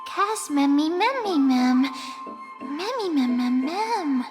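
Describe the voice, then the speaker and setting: A woman's voice: high-pitched, expressive, slow, big-room sound, music in the background. A woman voicing an adorable, tiny puppy-like creature in a video game, babbling in one repeated syllable. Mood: chattering.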